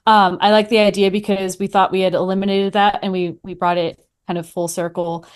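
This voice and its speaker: A woman's voice: medium pitch, level tone, a little fast, clear, resonant tone. A woman giving her opinions as a podcast guest in casual chat, conversational and thinking aloud.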